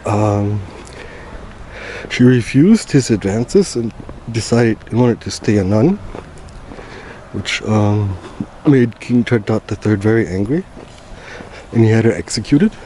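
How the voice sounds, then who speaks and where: A man's voice: fairly low pitch, animated, dark tone, slight background noise, poor audio. A German man guiding viewers through an old church, hesitating with frequent ums. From Germany (Bamberg, Franconia). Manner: hesitant, many ums and pauses. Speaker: travel vlogger. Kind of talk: casual guided commentary. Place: inside a church.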